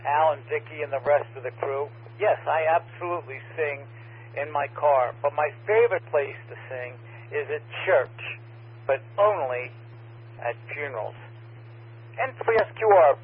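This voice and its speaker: Jokey, loud man on a tinny radio. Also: on the high side, lively intonation, normal speed. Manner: jokey and loud, pirate-style greeting. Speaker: caller checking in to a radio net. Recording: tinny radio transmission with faint hiss.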